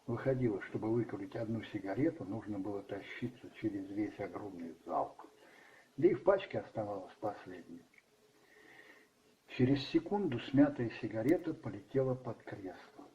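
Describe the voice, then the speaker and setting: A man's voice: fairly low pitch, lively intonation, moderate pace, warm tone, a little hiss or hum. A man narrating a radio play in a brooding, theatrical way with emphatic stresses. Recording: dull muffled sound with music behind. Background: music.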